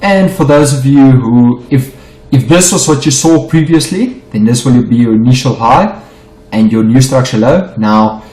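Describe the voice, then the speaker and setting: A man's voice: medium pitch, lively intonation, moderate pace, darkish tone, reverberant, low-quality recording. A middle-aged South African man whose first language is Afrikaans, walking through trading charts in an unedited lesson, stumbling and repeating himself as he explains. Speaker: forex trading teacher. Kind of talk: unedited screen-share chart walkthrough.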